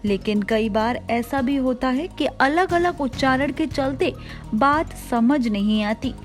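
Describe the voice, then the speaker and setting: High-pitched woman narrating an explainer. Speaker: video host. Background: background music.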